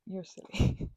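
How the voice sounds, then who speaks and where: A woman in an ASMR roleplay talking to the listener as a roommate, rambling and hesitant, with lots of fillers and stammered restarts and soft whispers at times, close to the microphone. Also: dry recording.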